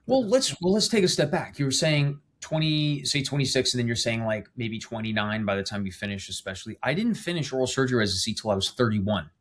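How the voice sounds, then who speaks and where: A man's voice: medium pitch, animated, fast talker, few pauses, a bit bright. Reassuring man hosting a podcast. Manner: encouraging, reassuring, casual. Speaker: podcast host, oral surgeon. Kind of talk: casual podcast conversation.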